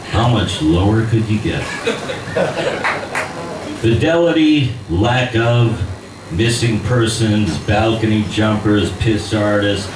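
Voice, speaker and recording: A man, an actor by trade, stands up to read fiction to a live audience in a cabaret bar. He talks slowly and a little hesitantly, then settles into a dry, wry reading. The sound is roomy and distant, with plenty of bar ambience. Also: lowish voice, background noise. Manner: hesitant, self-deprecating chat before a measured, wry reading.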